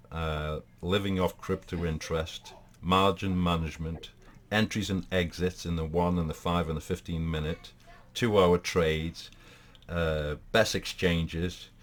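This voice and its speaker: A man from Portugal with a Portuguese accent, hosting a casual live talk in a rambling way, with many fillers and restarts. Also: deep voice. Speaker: host.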